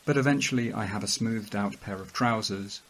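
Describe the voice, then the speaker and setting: An actor as a low-voiced, sullen, brooding male narrator. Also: a bit bright, a little hiss or hum, low-quality recording. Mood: quiet, reflective. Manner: measured, brooding, literary delivery. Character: weary, socially awkward, sullen male narrator. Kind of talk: audio drama first-person monologue.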